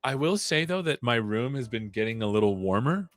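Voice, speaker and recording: A man's voice: medium pitch, lively intonation, fairly quick, no echo. A man hosting a casual livestream, rambling playfully with jokey asides. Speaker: live show host. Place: own room at home.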